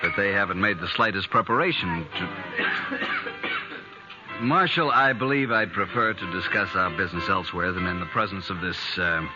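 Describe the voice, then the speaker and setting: An actor playing a haughty, spiteful moneyed creditor, pompous and formal, in a 1950s radio western. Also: male voice, low-pitched, moderate pace, natural voice. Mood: disdainful. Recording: dull 1950s radio recording with music.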